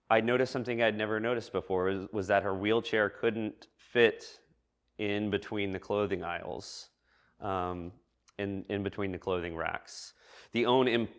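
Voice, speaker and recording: Male preacher, lower register, reflective sermon delivery, measured and thoughtful. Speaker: preacher giving a church message. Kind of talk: reflective sermon addressed to a congregation.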